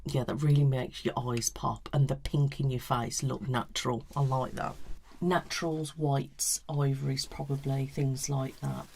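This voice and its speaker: A woman giving a soft-spoken ASMR consultation in an unhurried murmur that slips in and out of a whisper. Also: extremely low pitch, level tone, vocal fry, slight background noise. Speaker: colour analysis consultant.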